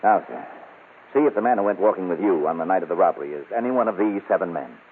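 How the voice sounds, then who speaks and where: Brisk police captain firing questions, low voice, tinny crackly old radio. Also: male voice, lively intonation. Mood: businesslike. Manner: businesslike, questioning, authoritative. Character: brisk, methodical police captain working a case. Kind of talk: 1940s radio crime drama acting. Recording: scratchy, tinny old-time radio recording.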